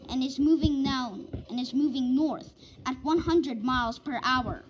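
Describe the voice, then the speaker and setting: A woman's voice: high voice, poor audio. A woman announcer reading a scripted emergency weather warning in a formal bulletin manner.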